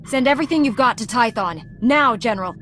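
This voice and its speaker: Firm, heroic Jedi Knight. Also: female voice, fairly high pitch, expressive, quick pace, a bit bright, slight background noise. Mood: determined. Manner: firm, resolute delivery. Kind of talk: scripted video game acting.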